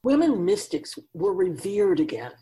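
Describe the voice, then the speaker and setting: A woman's voice: medium pitch, big pitch swings, measured pace, dark tone. A woman playing a wise otherworldly keeper of souls in a radio drama, teaching humanity in an emphatic, oracular manner with rhetorical questions. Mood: grave, lecturing. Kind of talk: radio drama dialogue, lecturing.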